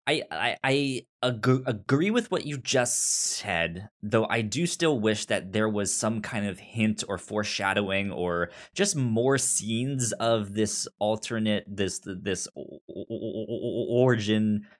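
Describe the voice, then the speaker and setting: A man co-hosting a podcast in casual chat, with a halting stutter that repeats sounds and syllables. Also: fairly low pitch. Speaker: podcast co-host.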